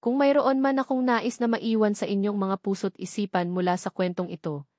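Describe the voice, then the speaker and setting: A woman narrating a romance story in a gentle, heartfelt, somewhat formal way. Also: medium pitch, fairly even intonation, brisk, no room sound, clean studio sound. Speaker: narrator and author.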